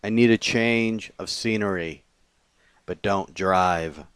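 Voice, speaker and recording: A man gives a poetry reading of personal, confessional verse. His voice is smooth and on the low side, and he takes it very slowly, breaking the lines into deliberate short phrases with pauses. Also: fairly bright tone, no echo. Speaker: poet.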